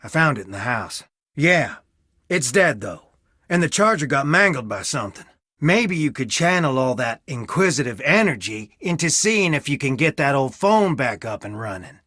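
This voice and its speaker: An actor playing a weary, guarded young fiancé with a wry, tired manner in a scripted video game. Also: male voice, mid-range voice, very animated, moderate pace, sharp tone, no room sound, clean studio sound. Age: young adult.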